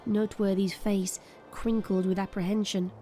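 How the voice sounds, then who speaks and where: A woman's voice: medium pitch, flat delivery, moderate pace, dark tone, faint music behind. A woman narrator reading a scripted, dramatic story.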